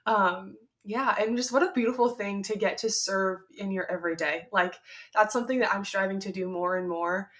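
A woman's voice: mid-range voice, fairly even intonation, moderate pace, few pauses, fairly bright tone. A young woman hosting a podcast, chatting casually and asking questions, with many fillers and affirmations. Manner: friendly, frequent "yeah" backchannels and fillers. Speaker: podcast host. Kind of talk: casual interview chat.

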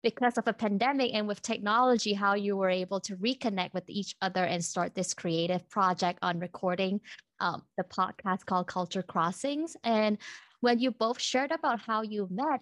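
A woman's voice: medium pitch, fairly even intonation, moderate pace, no echo. Woman host, dry close sound. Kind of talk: panel moderation.

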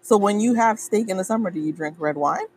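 A woman's voice: mid-range voice, brisk, a little hiss or hum. A woman with a Trinidadian accent co-hosting a casual podcast, joking and exclaiming over wine on an outdoor patio. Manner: lively, exclaims and jokes. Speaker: podcast co-host. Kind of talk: casual wine-tasting chat.

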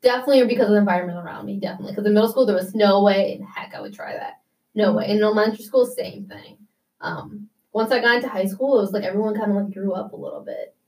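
A young woman from the United States talks in a loose, casual interview way, full of "like" and little restarts. Her pitch sits in an ordinary middle range. She sounds slightly set back from the microphone. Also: fast, a little mellow, far from the mic, low-quality recording. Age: young adult. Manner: chatty, many "like" fillers.

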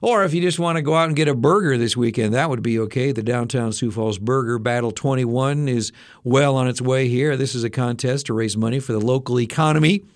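A man hosting a casual daily news briefing, slightly hoarse and adding personal asides to the headlines. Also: medium pitch, a little fast, no echo, clean studio sound. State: slightly hoarse, losing his voice. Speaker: radio news briefing host.

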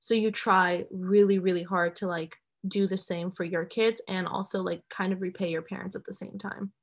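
A woman's voice: mid-range voice, flat delivery, moderate pace. A young woman co-hosting a podcast, talking in a chatty, filler-heavy way with frequent giggles. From United States. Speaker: podcast co-host. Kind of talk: casual chat.

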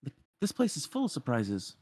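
A man's voice: mid-range voice, wildly swooping pitch, normal speed, darkish tone, breathy edge, dry recording, pitched above the performer's own voice. A man voicing a friendly, easygoing young street lad from a game in a breezy, informal way. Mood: wistful, upbeat.

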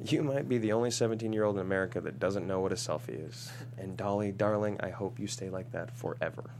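An actor playing a cocky, foul-mouthed celebrity with a sardonic, exasperated, flippant way of talking in a comedy table read. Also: male voice, low voice, fast talker, a little mellow. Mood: smug, charming. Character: cocky, sarcastic, foul-mouthed male celebrity stranded in town. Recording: clear background hum and noise.

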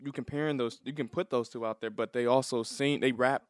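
A man's voice: mid-range voice, steady tone, a little fast, no room sound, clean studio sound. A man co-hosting a podcast, talking casually about pop culture. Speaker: podcast co-host. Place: podcast studio.

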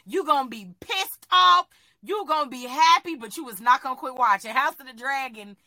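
A woman co-hosting a podcast in casual chat, animated and emphatic, swearing freely. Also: sits high, moderate pace, no room sound. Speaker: podcast co-host.

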